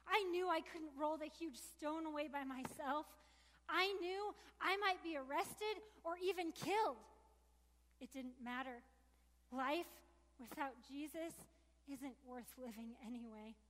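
A woman's voice: high voice, a little slow, fairly bright tone. A woman performing a biblical disciple in a heartfelt, emotionally charged first-person monologue. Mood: grieving. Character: grieving devoted woman disciple, earnest and emotional. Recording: long echoing reverb of a large hall.

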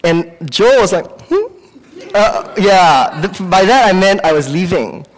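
Male preacher, Singaporean accent, slightly high pitch, informal and halting sermon delivery, recorded with long hall reverb. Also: big pitch swings. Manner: conversational, halting, with self-corrections. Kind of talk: sermon with personal anecdotes.

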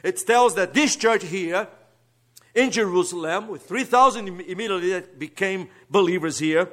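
A man from Brazil with a Brazilian Portuguese accent, a guest preacher and seminary teacher giving an earnest sermon that moves between soft asides and emphatic rises. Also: high voice.

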